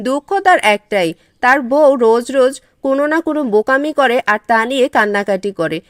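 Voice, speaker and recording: A woman's voice: high-pitched, expressive, brisk, no echo. Woman narrating a cartoon tale, dry sound. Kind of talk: scripted storybook narration for a cartoon.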